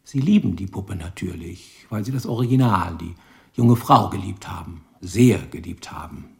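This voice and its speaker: A seasoned, weary detective in a radio crime play. His lowish voice crackles with gravel as he probes in a measured, knowing, insistent manner. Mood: knowing, pressing. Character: world-weary, probing male police detective lieutenant. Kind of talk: German radio crime drama acting.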